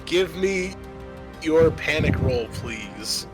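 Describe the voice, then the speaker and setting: A man running a tabletop roleplaying game as game master, narrating in a halting, deliberate way. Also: fairly high pitch, animated, music in the background.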